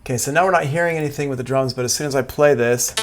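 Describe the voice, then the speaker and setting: A man giving a casual, improvised live software tutorial as a music production instructor, thinking aloud hesitantly as he works. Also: mid-range voice, very fast. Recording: music playing behind the voice.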